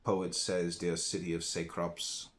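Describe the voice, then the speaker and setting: A man's voice: sits low, little pitch movement, unhurried. An American man reading classical philosophy aloud as an audiobook, in a solemn, emphatic, declamatory manner. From United States. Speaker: audiobook reader.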